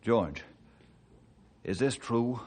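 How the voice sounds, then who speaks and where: An actor playing a steady, fair-minded American forest ranger in a radio drama, earnest and authoritative. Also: male voice, mid-range voice, very slow. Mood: troubled. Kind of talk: old-time radio drama acting. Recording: faintly noisy old radio recording.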